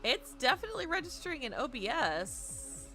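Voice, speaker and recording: A woman livestreaming a visual novel, switching between playful, chatty asides and expressive read-aloud narration. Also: high-pitched, normal speed. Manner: playful, chatty, self-deprecating. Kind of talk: casual stream chat mixed with expressive read-aloud narration. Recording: music playing behind the voice. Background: game music.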